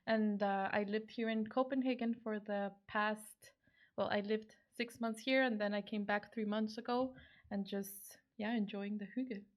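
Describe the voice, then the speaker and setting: Young female guest, Mexican accent, average pitch, relaxed conversational interview chat. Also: measured pace. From Guadalajara, Mexico. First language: Spanish.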